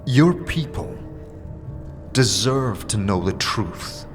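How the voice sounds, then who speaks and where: A man's voice: fairly low pitch, animated, slow, music in the background. A man playing an earnest immortal wanderer. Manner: earnest, questioning. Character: scarred immortal male wanderer.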